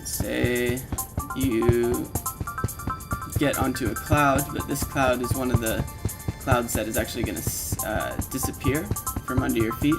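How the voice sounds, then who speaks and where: A man with a mid-range voice presents a casual video walkthrough. He explains in an informal way, speaking directly to the listener as the player. Loud video game music plays under his voice throughout. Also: mid-range voice, fairly even intonation, unhurried, vocal fry. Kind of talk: casual walkthrough narration of a software demo. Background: video game music and sound effects.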